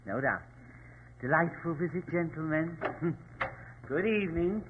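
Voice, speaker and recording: An actor in a 1940s radio drama playing a sinister, smugly courteous mortician with a formal, unctuous, deliberate manner. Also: male voice, fairly high pitch, lively intonation, noisy background. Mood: politely menacing. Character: sinister, politely menacing male mortician, smugly courteous henchman. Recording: tinny, band-limited old radio recording.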